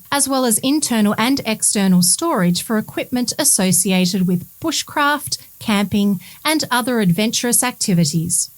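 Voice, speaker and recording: A woman reads a community bulletin story as scripted narration in a measured, formal way. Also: medium pitch. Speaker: reader of community bulletin stories. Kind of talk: scripted news narration, read aloud.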